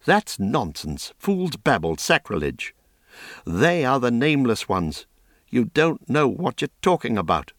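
Proud, threatening young priestess, read by a man pitching up, slow delivery, dry sound. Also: big pitch swings, no echo. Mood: indignant. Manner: scornful, threatening. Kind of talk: audiobook character reading.

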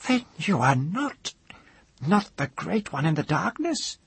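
A man voicing a mad old witch woman, frightened and proud, with a shrill, intense delivery, in a storybook reading. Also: very animated, takes it slowly, creaky, husky, dry recording, heavily acted. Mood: awed, fearful. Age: older.

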